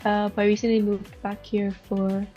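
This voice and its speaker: A young woman raised in Qatar, a university student guest, answering haltingly with many likes, on a dull lo-fi mic. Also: mid-range voice, little pitch movement, mellow, soft background music. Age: young adult.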